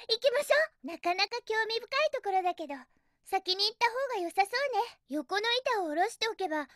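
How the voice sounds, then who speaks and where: Young cartoon hedgehog girl, video game, extremely high, piercingly bright, perky, sassy, cheerful. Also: no room sound, clean studio sound. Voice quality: bright, girlish. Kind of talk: Japanese video game voice acting.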